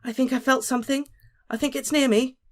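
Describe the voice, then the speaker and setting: A man voicing a frightened, superstitious teenage schoolgirl who speaks in a shrill, alarmed way. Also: fast. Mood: nervous.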